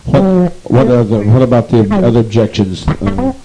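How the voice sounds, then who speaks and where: A man's voice: medium pitch, sing-song, normal speed, warm tone, poor audio. A man leading a meeting, speaking intensely and repetitively in a preaching manner on an old archival tape. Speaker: group leader addressing a meeting. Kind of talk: commanding speech to an assembly.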